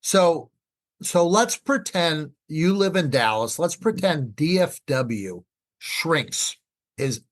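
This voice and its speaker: A man's voice: on the high side, animated, slow pace. A man talking conversationally as an expert podcast guest, emphatic, repeating phrases and posing rhetorical questions. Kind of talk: conversational explaining.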